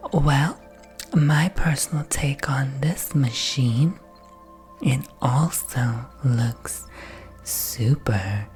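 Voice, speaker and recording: A man playing an upbeat advert narrator in a breathy ASMR whisper, with a soothing, salesy delivery. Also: a little mellow. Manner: slow, soothing salesy pitch. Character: smooth, upbeat promo video narrator selling robots.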